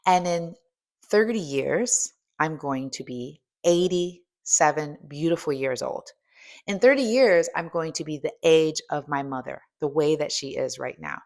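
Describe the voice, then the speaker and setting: A woman's voice: low-pitched, lively intonation, a little slow, darkish tone. A woman in her fifties giving a motivational coaching talk, emphatic and asking the listener direct questions. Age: 50s. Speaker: strength coach and host.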